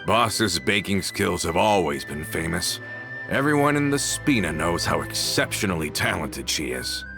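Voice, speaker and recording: Low-voiced, courteous loyal henchman. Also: big pitch swings, normal speed, creaky, heavily acted. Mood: admiring. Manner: polite, formal. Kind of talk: English video game dub. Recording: music behind the voice.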